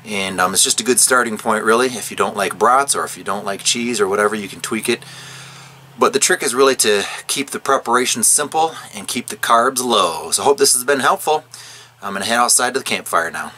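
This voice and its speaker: A man in his thirties talks to a camera held at arm's length, relaxed and chatty with plenty of fillers. His voice sits a little low but comes across bright and forceful. A faint outdoor ambience runs behind him. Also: brisk, slight background noise. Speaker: vlogger. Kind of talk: casual vlog talk to camera. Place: outdoors at a snowy campground and inside a camper. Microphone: handheld camera at arm's length.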